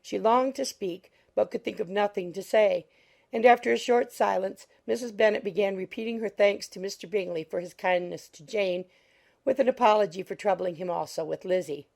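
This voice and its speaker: A woman reading literary narration as an audiobook narrator, measured and formal. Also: mid-range voice, vocal fry, darkish tone.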